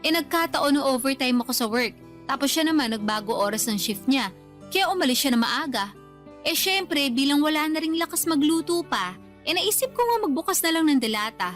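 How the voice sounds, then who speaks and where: A high, youthful female voice plays an aggrieved daughter in a radio drama. She is whiny and defensive but stays respectful. Soft music runs under her lines. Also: lively intonation, a little fast. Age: young adult. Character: aggrieved grown daughter sulking over a lovers' quarrel.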